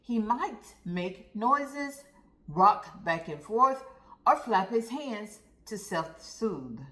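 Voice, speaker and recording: Female read-aloud narrator for young kids, slow and deliberate with animated melody, addressing the children directly. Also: mid-range voice. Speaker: storyteller reading aloud to children. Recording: roomy, echoey sound.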